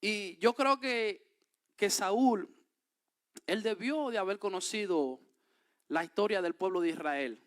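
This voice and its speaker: A man's voice: high-pitched, slow pace, pauses often, fairly bright tone. A man with a Dominican accent preaching a sermon, reading scripture aloud and then explaining it with emphatic rises. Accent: Dominican Spanish. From Dominican Republic.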